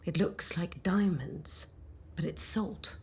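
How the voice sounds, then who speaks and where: A woman playing a dreamy, tender heroine recalling what she senses in a soft, breathy, hushed monologue, savoring each phrase. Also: lowish voice, slow pace. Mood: hushed wonder. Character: dreamy, tender woman hero recalling sensory memories with wonder. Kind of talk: intimate dramatized monologue. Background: music.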